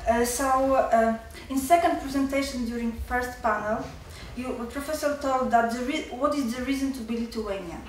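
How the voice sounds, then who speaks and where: A young woman with a Polish accent, a student giving nervous, halting remarks at a seminar with many restarts. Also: high voice, fairly even intonation, heavy reverb, recorded from a distance, a little hiss or hum, poor audio. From Poland. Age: young adult. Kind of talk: nervous public remarks in a second language.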